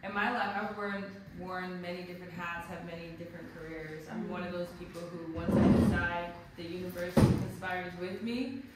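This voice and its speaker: A young woman from Connecticut speaking on a panel, telling her story in a lively, humorous way. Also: fairly low pitch, normal speed, few pauses. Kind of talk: panel discussion. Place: art gallery. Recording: echoey gallery from far off with noise.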